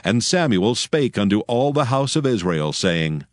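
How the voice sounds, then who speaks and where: A man narrating scripture from a script in a solemn, measured, formal manner. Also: mid-range voice, sing-song, dry recording, clean studio sound. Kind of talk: scripted dramatized Bible narration. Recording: faint music behind the voice. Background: faint background music.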